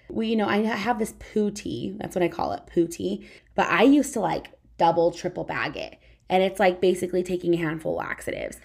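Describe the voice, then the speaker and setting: A woman's voice: mid-range voice, gravelly, a little mellow. A young woman with a scratchy voice talking frankly and casually to camera, full of "like" fillers. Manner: frank, conversational, many "like" fillers. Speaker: vlogger. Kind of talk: candid personal talk to camera.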